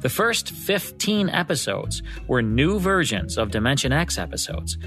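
A man's voice: medium pitch, sing-song, measured pace, fairly bright tone, faint music behind. An American man hosting a radio program with scripted narration. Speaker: radio show host.

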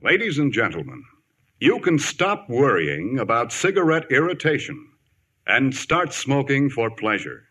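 A man's voice: mid-range voice, big pitch swings, measured pace, pushed and bright, slightly rough, faint music behind. A man announcing a 1950s radio commercial in an emphatic, formal sales style. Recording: vintage 1950s radio broadcast.

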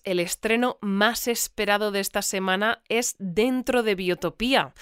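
An actress playing a composed, formal presenter of a news bulletin in an audio drama. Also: female voice, mid-range voice, fairly bright tone, dry recording, clean studio sound, lightly acted. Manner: composed, formal, clearly scripted.

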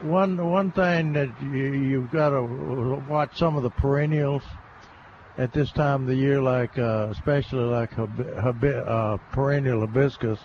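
A man, a gardening expert on a live radio show, explaining plant care haltingly with many restarts. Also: medium pitch, steady tone, highs cut off, a little hiss or hum.

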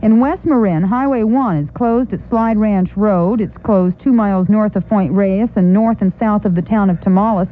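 An American woman delivers a scripted newsroom report on 1980s radio. Her voice has a middling pitch, and the sound is thin and band-limited, like an old aircheck. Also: normal speed, few pauses, narrow, tinny audio.

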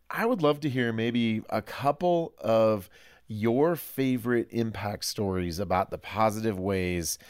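Low-voiced man hosting a podcast. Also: clear, resonant tone, no room sound, clean studio sound. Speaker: podcast host. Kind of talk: casual podcast interview.

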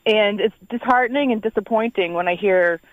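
A woman's voice: medium pitch, brisk, no room sound. A woman calls into a radio show over a tinny phone line. She explains her concern earnestly and hesitantly. The sound is dry and thin. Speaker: radio caller.

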